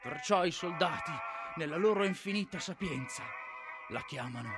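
Man acting embittered drunken army officer, high voice, very animated, declamatory, radio play with music underneath. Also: normal speed, close to an everyday voice. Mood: bitter, impassioned. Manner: declamatory, rhetorical, emphatic. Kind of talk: theatrical radio drama monologue.

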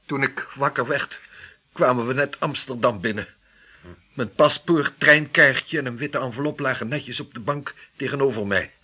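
An actor playing a formal, anxious and very polite manservant in a radio drama. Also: male voice, mid-range voice, moderate pace, sharp tone, slightly rough. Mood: subdued. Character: deferential, formal, anxious male servant or assistant. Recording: noisy old radio play recording.

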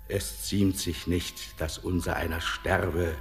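Classic radio drama: ominous ghostly old man, deepish voice, very drawn-out poetic delivery, cavernous reverb. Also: level tone, faint music behind. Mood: solemn, resigned. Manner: solemn, declamatory, drawn-out phrasing. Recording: long hall-like reverb.

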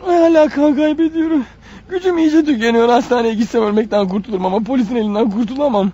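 A man's voice: very high-pitched, quick pace, dark tone, breathy edge. An actor playing a desperate, wounded killer on the run in a radio drama, agitated, paranoid and muttering to himself as his strength fails. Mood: exhausted, panicky. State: weak, bleeding, fighting off sleep. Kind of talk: radio drama acting with inner monologue. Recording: dull radio recording with faint noise.

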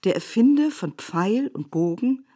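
A woman giving a measured, scripted literary narration in an essayistic manner for a radio production. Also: medium pitch, animated, dark tone, breathy edge.

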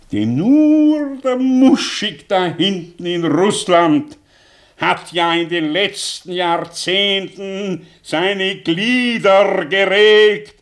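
A man's voice: high-pitched, vocal fry, a bit bright, exaggerated voice. A man playing an embittered ageing scholar in a 1960s radio drama, declaiming in a theatrical, volatile way.

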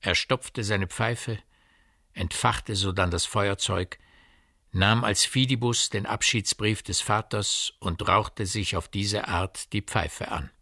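A man narrating a literary radio drama in a precise, measured and dramatic storytelling manner. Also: fairly low pitch, bright, forceful tone, no room sound, studio quality.

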